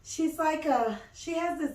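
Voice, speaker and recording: A woman with a raspy voice bantering casually with friends on a podcast, blunt, teasing and emphatic in slangy speech. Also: high voice, measured pace, darkish tone. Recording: roomy echo, distant from mic.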